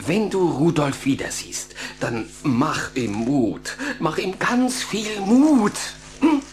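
Picture a busy, kindly male psychologist in a children's radio play. He is earnest and urgent, with a very animated melody. Noticeable noise sits behind him. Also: a little high, slow pace. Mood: earnest, encouraging. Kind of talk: German children's audio drama acting.

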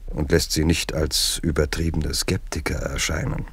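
An actor narrating a literary 1960s radio drama as a measured, faintly ironic chronicler. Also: male voice, rumbling low, little pitch movement, slightly rough, no echo, heavily acted. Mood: measured, wry.

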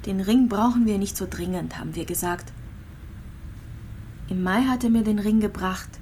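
An actress playing a gravely ill young immigrant mother, frail and resigned, confiding in halting, repeated phrases in a radio drama. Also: medium pitch, warm tone, a little hiss or hum, natural voice. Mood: quiet, sad. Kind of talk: intimate radio drama monologue and dialogue.

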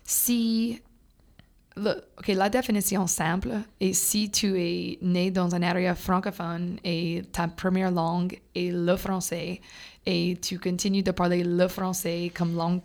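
A young woman from Louisiana whose first language is English, a French teacher talking casually as a podcast guest, halting and full of fillers, slipping in English words. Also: lowish voice, flat delivery, vocal fry, a little mellow. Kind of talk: casual conversation.

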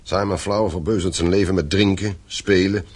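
Domineering mine-owning father, middle-aged, low husky voice, curt, commanding, radio drama. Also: fairly even intonation, normal speed. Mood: grave, admonishing. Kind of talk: Dutch radio play acting.

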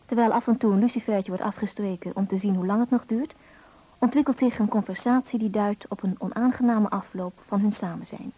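A woman narrating a scripted radio thriller in a measured, ominous manner. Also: medium pitch, dark tone, slightly rough, natural voice, poor audio. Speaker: announcer narrator.

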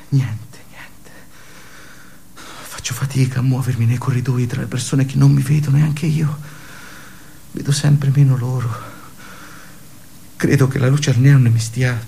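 An actor playing a wounded, despairing office worker in an intense, halting radio theatre monologue, with a creaky voice. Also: male voice, mid-range voice, a little hiss or hum. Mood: defeated. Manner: halting, intense, shifting from hushed murmurs to anguished outbursts. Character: wounded, despairing office worker recounting his suicide attempt.

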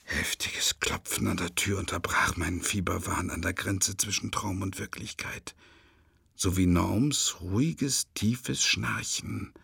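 A man's voice: low-pitched, a little slow, gravelly, slightly rough, dry recording, heavily acted. A man acting in a radio drama as an anxious father who narrates his feverish nightmares expressively. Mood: subdued. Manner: expressive, building to agitation. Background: music.

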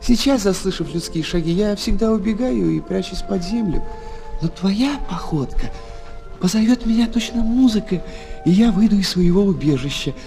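A wistful, gentle fox speaks in a high voice with slow, hesitant tenderness. The delivery is that of a 1970s children's radio play, with some music behind it. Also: male voice. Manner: hesitant, tender, expressive explaining. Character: shy, wistful, gentle male fox longing for friendship. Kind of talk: 1970s children's audio drama acting.

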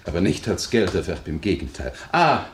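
An actor playing a smarmy, pushy middle-aged land buyer in a radio crime drama, speaking with flowery, hesitant politeness. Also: male voice, low voice, sing-song, moderate pace. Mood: unctuous.

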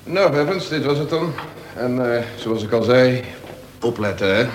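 An actor playing a stern, curt chief prison officer in a radio play, clipped and authoritative. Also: male voice, mid-range voice, animated, normal speed, close to an everyday voice. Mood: curt, watchful. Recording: echoey, slightly noisy radio recording.

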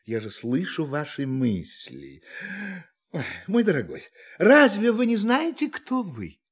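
An alien visitor in a radio drama, with a high voice that swoops widely. He is theatrical and mock-courteous, savoring his words with smug, playful condescension toward humans. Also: wildly swooping pitch, slow pace, heavily acted. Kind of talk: Russian radio play acting.

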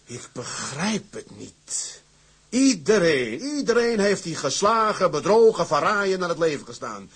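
Husky, impassioned sea captain. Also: male voice, high voice, sing-song, measured pace, a bit bright, full character voice. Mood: fierce, urgent. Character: fierce, driven tugboat captain fighting for a free sea. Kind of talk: Dutch audio drama acting.

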